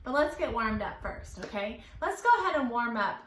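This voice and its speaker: High-voiced woman hosting children's storytime, roomy sound. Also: expressive, brisk, fairly bright tone, slight background noise. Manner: encouraging, playful, exaggerated enunciation for young children. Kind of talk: children's lesson with songs and questions.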